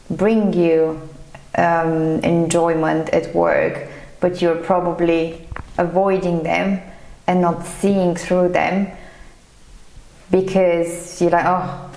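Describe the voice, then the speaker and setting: A woman's voice: lowish voice, a little mellow. A woman from Bulgaria answering questions as an interview guest, in a thoughtful, hesitant conversational way. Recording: echoey, reverberant room sound.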